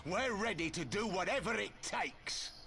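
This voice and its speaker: An actor playing a gruff, solemn and fervent male resistance fighter in a video game cutscene. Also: sits high, animated, measured pace, sharp tone, exaggerated voice. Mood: angry, defiant.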